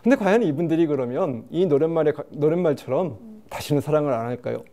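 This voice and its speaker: Lively, fast-talking male lecturer. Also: fairly high pitch, a crowd in the background. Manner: animated, asks the audience questions. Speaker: lecturer on dialects. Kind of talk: lecture to a studio panel.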